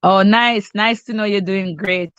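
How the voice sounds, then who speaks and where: A woman's voice: medium pitch, steady tone, measured pace, a bit bright, clear, resonant tone, no echo, lo-fi sound. A middle-aged Jamaican woman hosting a cooking live stream, chatting casually with viewers by name. She sounds stuffy and congested and often restarts her sentences. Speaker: cooking channel host.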